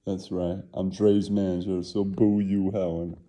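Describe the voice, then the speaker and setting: A man's voice: low-pitched, level tone, a little slow, mellow. A man voicing a sassy senior flight attendant who brags scornfully about rich relatives in a comic puppet sketch, drawing out the words. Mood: scornful bragging.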